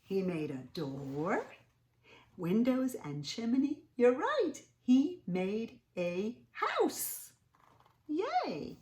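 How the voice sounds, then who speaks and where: Animated woman reading to children, roomy echo. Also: fairly high pitch. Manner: animated, sing-song, drawn-out emphasis. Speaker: children's read-aloud storyteller. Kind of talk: expressive picture-book reading with questions to young listeners. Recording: roomy, echoing indoor sound.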